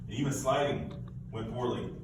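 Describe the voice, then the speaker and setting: A man's voice: mid-range voice, normal speed. A man narrating a live puppet show for children in a playful, informal way, with some hesitations. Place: large echoey room, live performance. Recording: echoey, noisy room, far from mic.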